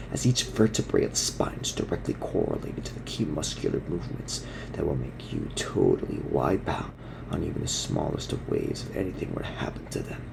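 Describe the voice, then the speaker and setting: A man voicing a laid-back gorilla surfer dude who teaches in slang, in a gravelly, breathy half-whisper for an ASMR parody. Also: rumbling low, noisy background, full character voice. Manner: surfer slang, soothing instructional delivery.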